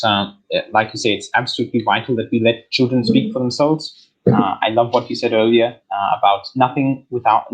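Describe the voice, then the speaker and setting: A man's voice: medium pitch, slightly rough, highs cut off. An Australian man hosting an online talk, speaking with many fillers and restarts over a video call. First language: English. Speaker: host.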